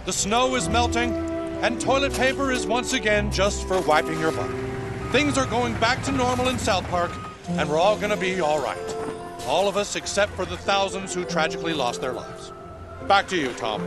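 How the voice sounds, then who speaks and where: A man voicing a deadpan TV news anchor in a video game cutscene. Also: high voice. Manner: newsreader cadence, deadpan. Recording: game cutscene under very loud music.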